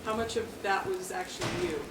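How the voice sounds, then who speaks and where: Female audience questioner, medium pitch, spontaneous, recorded far off in an echoey hall. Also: fairly even intonation, a little fast. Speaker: audience member asking questions. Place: convention panel room. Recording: echoey distant recording, faint noise.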